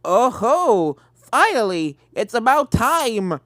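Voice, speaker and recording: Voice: medium pitch, big pitch swings, slow, a bit bright, pitched above the performer's own voice. A narrator putting on an eager old stallion inventor. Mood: excited. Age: older.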